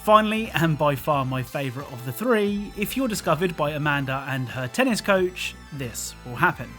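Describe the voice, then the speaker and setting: A man's voice: a little high, lively intonation, normal speed. A man reading scripted video game commentary in a dryly humorous way. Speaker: video narrator. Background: music.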